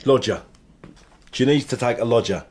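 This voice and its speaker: An actor playing a domineering, defensive husband in a radio drama, curt and gruff in manner. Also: male voice, medium pitch, normal speed, close to an everyday voice. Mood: curt, irritated.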